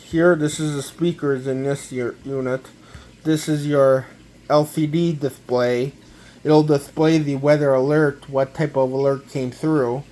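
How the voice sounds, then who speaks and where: A man gives a casual, halting, step-by-step product walkthrough, often repeating himself. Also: medium pitch, fairly even intonation, warm tone, a little hiss or hum. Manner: halting, repetitive, step-by-step explaining. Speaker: amateur gadget demonstrator.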